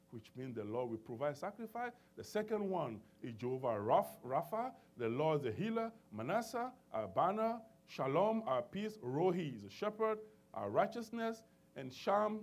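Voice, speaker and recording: Male preacher, high husky voice, swinging pitch, emphatic, calls for amens. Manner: emphatic call-and-response preaching with many restarts. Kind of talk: sermon.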